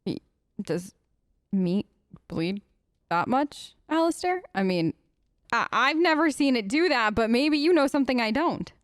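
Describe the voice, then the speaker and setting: Woman gamer, higher voice, casual role-play commentary, playful, hesitant, dry close recording. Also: lively intonation, measured pace, no echo. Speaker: gamer, let's play host.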